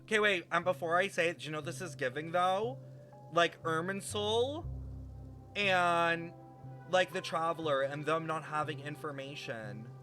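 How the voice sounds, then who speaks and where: A man with a fairly high voice streams a story game. He reads text aloud and reacts as he goes, animated and puzzled, with music playing behind him. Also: measured pace.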